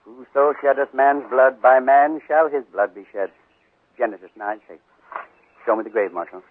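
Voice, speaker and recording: A man's voice: mid-range voice, normal speed, slight background noise, lightly acted. Guy doing a grave american western preacher, intoning like scripture, muffled old radio drama. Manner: declamatory, intoning. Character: solemn, fervent frontier preacher quoting scripture, male. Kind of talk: 1950s radio western acting.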